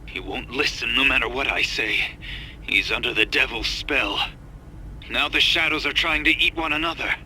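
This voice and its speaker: A man's voice: mid-range voice, moderate pace, sharp tone, a little hiss or hum, poor audio. An actor playing a grave, remorseful middle-aged scientist father leaving a pleading video message, in a game cutscene. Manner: earnest, pleading.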